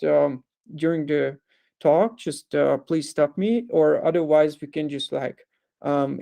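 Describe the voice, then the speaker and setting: A man in his thirties from Hungary, giving an online lecture over a video call, halting with many ums and likes. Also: on the high side, vocal fry, warm tone. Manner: hesitant, with frequent ums, uhs and likes. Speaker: guest speaker.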